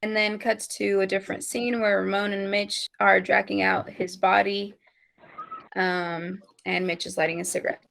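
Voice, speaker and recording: Female table-read narrator: mid-pitched, gravelly fry, scripted stage-direction delivery. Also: flat delivery, normal speed, a bit bright.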